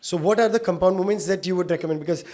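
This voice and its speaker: A man's voice: high voice, racing, a little mellow. A man hosting a podcast, a doctor asking a guest questions in a friendly way. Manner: friendly, asks questions at length. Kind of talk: casual podcast interview.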